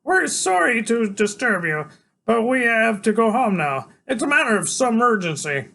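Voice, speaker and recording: A small storybook boy, acted out by a man, high-pitched and nervous. He is formally polite and wordy, stammering a little as he speaks. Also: sing-song, moderate pace, vocal fry, bright, forceful tone, slight background noise, heavily acted. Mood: anxious.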